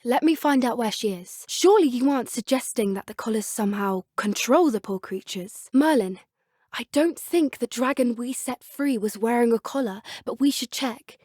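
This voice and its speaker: Woman playing a plucky teenage witch, British accent, fairly high voice, earnest and polite, video game dialogue, dry close recording. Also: normal speed, darkish tone, no echo, close to an everyday voice. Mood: earnest, determined. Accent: British English. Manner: polite, earnest, old-fashioned schoolgirl phrasing. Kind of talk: scripted game character dialogue.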